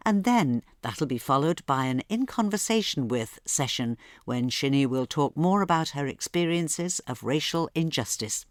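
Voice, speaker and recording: Radio presenter, scripted promo read, dry booth, even mid voice. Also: medium pitch, no room sound. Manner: clear, informative, repeats details. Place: radio studio.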